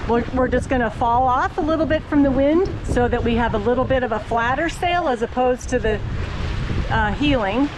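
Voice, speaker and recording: A woman's voice: high voice, normal speed. A middle-aged woman hosting a sailing vlog, chatting and explaining step by step from aboard a small boat on a lake. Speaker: sailing channel host. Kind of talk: casual sailing vlog narration. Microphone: action camera on the boat. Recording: wind noise on camera mic. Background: wind and water noise.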